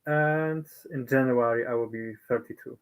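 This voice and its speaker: A man's voice: medium pitch, clean tone. A man in his early thirties, a guest on a casual live stream, laughing often between remarks. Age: 30s. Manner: slow, laughs a lot.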